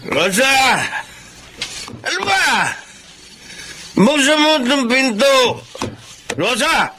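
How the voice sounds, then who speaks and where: An irritable Filipino fisherman husband who likes his drink. His high voice grumbles and whines defiantly in radio drama style. There is clear noise behind him. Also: very animated, takes it slowly, exaggerated voice. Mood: angry, fed up. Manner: grumbling, dismissive, whining.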